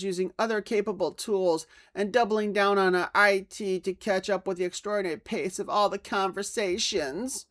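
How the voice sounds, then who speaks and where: A man's voice: high voice, a bit bright. A man voicing a pompous, self-satisfied toy company boss in a mock dramatic reading of a letter. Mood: earnest, promising. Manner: pompous, salesman-like.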